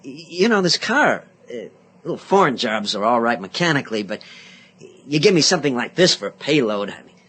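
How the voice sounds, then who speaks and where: A man's voice: medium pitch, very animated, a little fast, fairly bright tone, a little hiss or hum. An actor in a radio drama playing an eager, chatty young man, boyish, polite and rambling. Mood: cheerful, chatty. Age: young adult. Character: eager, chatty young male Senate page.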